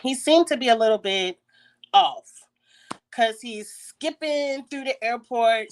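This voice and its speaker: Congested raspy woman telling a story. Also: a little high. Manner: chatty, frequent throat clearing. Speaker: YouTube host. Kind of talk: casual story time. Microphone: phone.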